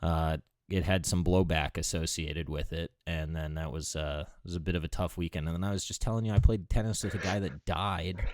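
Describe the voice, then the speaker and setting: A male podcast host with a very deep voice, chatting loosely and joking, full of fillers. The sound is dry and close, with no room around it. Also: fairly even intonation, fairly quick, few pauses, no room sound. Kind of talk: casual conversational interview.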